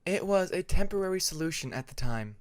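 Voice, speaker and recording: A man voicing a quiet, kind young female sea dragon in an audiobook, gentle and apologetic. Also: high-pitched, expressive, fairly quick, dry recording, pitched above the performer's own voice. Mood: explaining.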